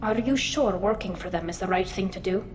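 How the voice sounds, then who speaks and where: Woman as an earnest alien engineer, rumble behind. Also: a little fast, lightly acted. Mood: wistful, concerned. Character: female alien engineer, loyal, guarded friend. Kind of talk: video game character acting. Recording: game audio over clear engine rumble.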